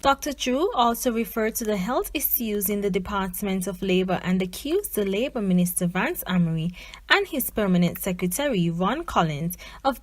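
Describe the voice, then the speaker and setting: A female news reporter reads from a script. Her voice sits at an average pitch, and the sound is close and dry, with very little room around it. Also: no room sound.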